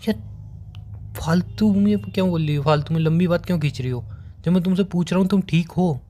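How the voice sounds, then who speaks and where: A man's voice: high voice, fairly quick, mellow. A young man with an Indian accent acting as a worried, devoted boyfriend in a phone-call drama, pleading and questioning insistently. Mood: exasperated.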